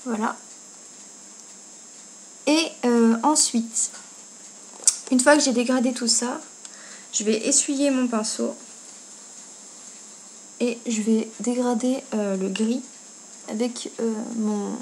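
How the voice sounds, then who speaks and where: A woman's voice: fairly high pitch, fairly even intonation, slow, pauses often, a little mellow, low-quality recording. A woman giving a casual step-by-step makeup tutorial, talking informally as she works. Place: indoors at home. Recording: noticeable background noise, amateur home video.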